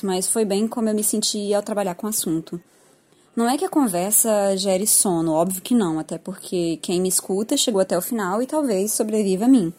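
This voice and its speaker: A woman's voice: medium pitch, mellow, breathy edge. A woman with a Brazilian accent giving an informal, reflective spoken commentary with a lightly self-deprecating manner. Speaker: art history teacher.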